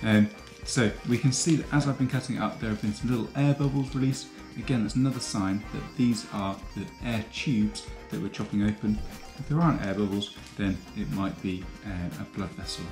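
A young man explaining biology in a patient, clear teaching manner, recorded in a home kitchen on a camera across the table. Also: lowish voice, a little fast, few pauses, reverberant, over music. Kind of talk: educational explainer.

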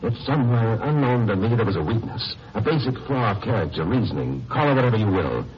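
An actor playing a steady, wry lawyer-sleuth hero in a 1950s radio crime drama. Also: male voice, lowish voice, quick pace, lightly acted. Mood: earnest. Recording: muffled, noisy old radio recording.

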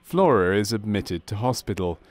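Male narrator for a medical training film: lowish pitch, measured and clinical delivery, very little room sound. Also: expressive, no echo. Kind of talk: scripted documentary narration of a medical case study.

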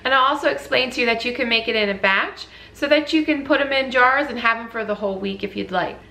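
A woman's voice: a little high, quick pace, sharp tone. A woman, hosting a casual recipe vlog in a chatty, informal way. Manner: chatty, informal, addressing viewers as guys. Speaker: host of a healthy cooking channel. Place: home kitchen, indoors. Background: clear background music.

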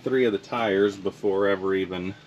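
A man in his thirties narrating a casual work vlog about hauling campers, relaxed and unhurried with lots of fillers. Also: deep voice, highs cut off. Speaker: towing business owner. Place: truck cab and outdoor work yard. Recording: noisy with vehicle and engine hum.

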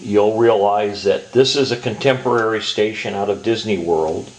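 An American man giving a casual hands-on hobby demonstration in an unhurried, halting, explanatory way, recorded indoors on a home camera. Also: fairly low pitch, steady tone. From Southern California, US. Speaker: model train hobbyist presenter. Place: indoors in a home dining room. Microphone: handheld camera's built-in mic. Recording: noisy home camcorder audio.

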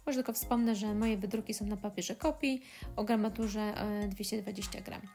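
A woman's voice: mid-range voice, steady tone, normal speed, warm tone, soft background music. Hesitant woman explaining a craft tutorial. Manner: hesitant, many filler sounds. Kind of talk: step-by-step craft tutorial voice-over.

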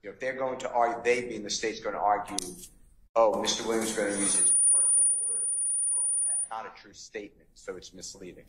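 A man's voice: on the low side, fairly even intonation, quick pace. A middle-aged man arguing as a defense attorney in a courtroom. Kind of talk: courtroom argument. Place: large wood-paneled courtroom. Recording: roomy courtroom echo, background noise.

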